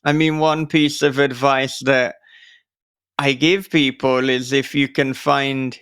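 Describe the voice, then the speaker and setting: A man hosts a reflective interview at an unhurried, slow pace. His voice is clean and smooth yet noticeably creaky at the edges, and he sounds earnest and thoughtful. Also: mid-range voice, flat delivery, a bit bright, dry recording, clean studio sound. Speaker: podcast interviewer and host.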